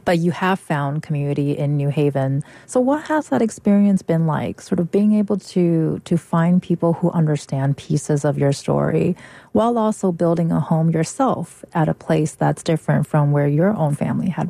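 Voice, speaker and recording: Low, gravelly-voiced woman radio host. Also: normal speed, mellow, dry recording. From United States. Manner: thoughtful, conversational. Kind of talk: radio interview.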